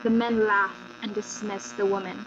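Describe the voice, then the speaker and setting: A woman's voice: medium pitch, flat delivery, moderate pace. A woman storyteller giving a scripted, dramatic narration of a folktale. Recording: dull lo-fi recording with loud mains hum.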